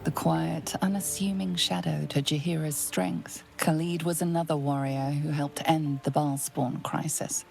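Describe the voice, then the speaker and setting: Grave fantasy game narrator lady, low voice, very even and solemn, bit of fry. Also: measured pace, hardly any pauses, over music. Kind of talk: scripted dramatic narration.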